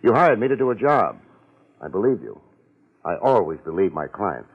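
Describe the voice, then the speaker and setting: A man's voice: on the low side, big pitch swings, normal speed, slightly rough, exaggerated voice. A man playing a sardonic, wisecracking private detective in a 1950s radio drama, speaking in terse, clipped sentences. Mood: probing, sardonic. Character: hard-boiled wisecracking male private detective. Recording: muffled vintage 1950s radio recording.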